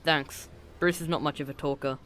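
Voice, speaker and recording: A man's voice: fairly high pitch, fairly even intonation, moderate pace, a bit bright, slight background noise, natural voice. An actor playing a cheeky, eager teenage boy sidekick in a comic dub, cocky in his delivery. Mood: guarded, curious. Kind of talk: comic dub dramatic acting.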